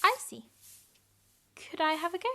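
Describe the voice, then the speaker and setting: A woman's voice: very high-pitched, expressive, normal speed, bright, forceful tone, heavily acted. A young woman playing a curious, teasing little farm girl in an audio play.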